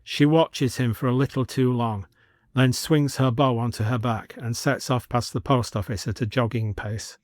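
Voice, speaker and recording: A man's voice: medium pitch, fairly even intonation, normal speed, dry recording, studio quality. A man narrating a scripted supernatural story.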